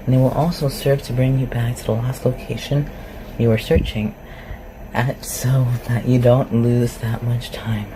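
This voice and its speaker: An awkward, inquisitive shapeshifter living as a forest recluse, acted out by a performer for a fantasy roleplay ASMR. The voice sits at a medium pitch and rambles through hesitant questions. Noticeable noise runs under the recording. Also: fairly even intonation, a little slow, close to an everyday voice. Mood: helpful, awkward. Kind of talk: roleplay ASMR audio acting. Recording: clearly noisy home recording.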